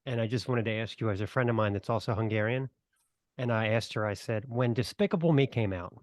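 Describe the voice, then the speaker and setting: A man's voice: on the low side, brisk, dry recording, clean studio sound. Joking male podcast co-host. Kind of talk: casual interview chat.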